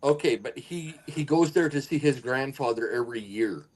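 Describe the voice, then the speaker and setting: A man's voice: medium pitch, moderate pace, darkish tone. A man bantering casually as a panelist among friends. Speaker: show panelist.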